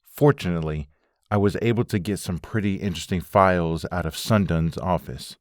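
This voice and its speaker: A man acts a serious comic-book investigator. His voice is low and recorded very dry, with a matter-of-fact delivery. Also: a little fast, no echo, lightly acted. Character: serious, frustrated male investigator talking with his partner. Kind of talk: comic dub acting.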